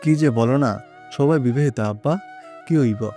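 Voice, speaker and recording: Man acting thirties son, Bangladeshi dialect, mellow dark tone, casual, exasperated, audio drama, music behind. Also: medium pitch, moderate pace, close to an everyday voice. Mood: placating, exasperated. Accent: Bangladeshi Bengali dialect.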